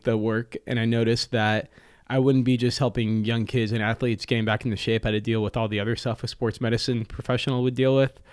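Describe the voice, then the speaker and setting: A man's voice: medium pitch, darkish tone, clean tone, dry recording, studio quality. A young man whose first language is Italian, a podcast guest talking casually with lots of fillers and jokes. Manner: chatty, joking, many fillers. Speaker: podcast guest, restaurant owner. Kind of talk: casual interview talk. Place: podcast studio.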